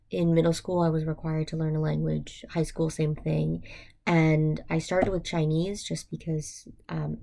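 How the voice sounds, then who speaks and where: A young American woman speaks in a low, gravelly register with plenty of vocal fry and a mellow tone. She talks informally, as a student reflecting out loud, pausing often to find her words. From United States. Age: young adult. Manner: hesitant, thinking aloud, many "like" fillers. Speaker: university student. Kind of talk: informal reflective monologue for a class.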